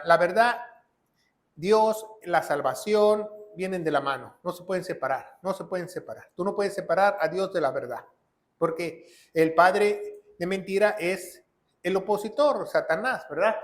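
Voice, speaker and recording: Emphatic high-voiced male pastor. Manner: emphatic, repeats phrases, asks rhetorical "¿verdad?". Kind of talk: Bible study sermon. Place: church in Tennessee.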